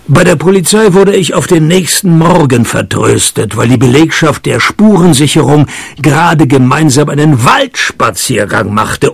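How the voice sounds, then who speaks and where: Sardonic private eye for comic noir radio: very husky, world-weary, laconic, with swooping intonation. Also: male voice, a little high, no room sound, full character voice. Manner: deadpan, laconic. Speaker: lead character and narrator. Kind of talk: comic noir radio play, first-person narration and dialogue.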